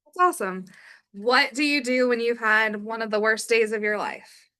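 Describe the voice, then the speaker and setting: A woman hosting a podcast, chatty and asking quick, friendly questions. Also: on the high side, bright, forceful tone, clean tone. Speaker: podcast host. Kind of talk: casual interview chat.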